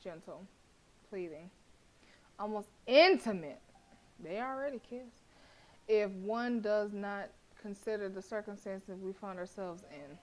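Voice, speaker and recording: A young woman streaming a horror visual novel, reading the narration aloud and breaking into blunt, profane, animated reactions with frequent laughter. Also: mid-range voice, a little slow, low-quality recording.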